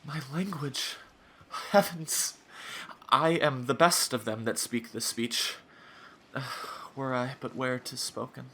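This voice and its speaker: Male actor as a young shipwrecked prince, medium pitch, courtly, earnest, declaiming, lovelorn, Shakespearean radio play. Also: slow pace, sharp tone, full character voice. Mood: awestruck. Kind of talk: Shakespearean audio drama acting.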